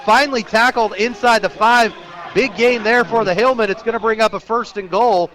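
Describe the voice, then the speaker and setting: Male radio play-by-play sportscaster, fairly high-pitched, clipped descriptive delivery for live high school football. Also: moderate pace, a little hiss or hum. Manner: clipped descriptive phrases, excited on big plays. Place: outdoor stadium, live radio broadcast.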